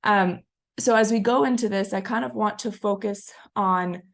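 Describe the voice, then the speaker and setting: A woman's voice: mid-range voice, moderate pace, vocal fry. Slightly scratchy woman presenting a webinar. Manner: chatty, storytelling, frequent ums. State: getting over a cold, a little scratchy. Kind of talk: conversational presentation.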